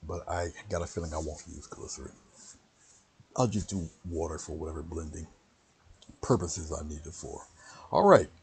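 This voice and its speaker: A man with a very low voice giving a casual, rambling painting lesson on a livestream and greeting viewers by name. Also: moderate pace, long pauses, slightly rough. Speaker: painting instructor.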